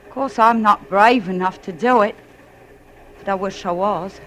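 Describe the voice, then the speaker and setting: A woman's voice: medium pitch, normal speed, a bit bright, a little hiss or hum, close to an everyday voice, low-quality recording. An actress playing a weary, fussy working-class English widowed mother in an old radio drama, deferential and folksy. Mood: wistful, weary. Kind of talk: 1940s radio acting.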